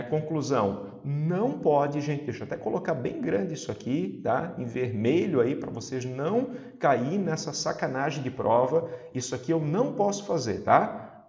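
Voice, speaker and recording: A man's voice: a little high, darkish tone. A man with a southern Brazilian accent teaching an informal exam-prep lesson, chatty and didactic, often checking that listeners follow. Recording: roomy echo, slightly dull sound.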